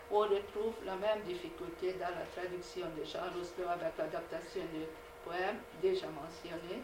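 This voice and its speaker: A woman whose first language is Hungarian, reading a measured academic lecture with frequent hesitations. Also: fairly low pitch, far from the mic. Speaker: conference speaker. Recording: echoey hall recorded from afar, noisy.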